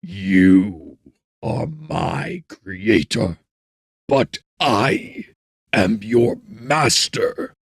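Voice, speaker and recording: A man voicing a wretched, vengeful monster in a gothic audiobook, with smothered, rasping breath and grandiose, bitter menace. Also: on the low side, sing-song, no echo, studio quality, pitched above the performer's own voice, heavily acted. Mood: menacing, domineering. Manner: slow, drawn-out, grandiose threats. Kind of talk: dramatic gothic audiobook acting.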